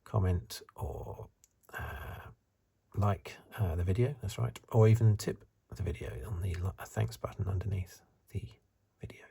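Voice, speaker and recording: A man giving soft-spoken ASMR commentary in a breathy, husky, partly whispered voice, musing haltingly and offering his opinions close to the microphone. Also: low-pitched, steady tone. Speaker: host of an ASMR review channel.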